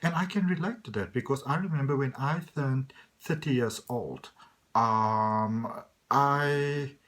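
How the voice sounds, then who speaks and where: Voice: medium pitch, measured pace, fairly bright tone. A person in their thirties giving a reassuring, earnest motivational talk as a spiritual coach, often saying "you know" and "okay". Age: 30s.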